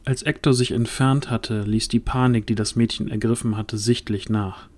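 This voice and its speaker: A man's voice: on the low side, steady tone, moderate pace, a little mellow. A man narrating a fantasy audio drama as a scripted storyteller.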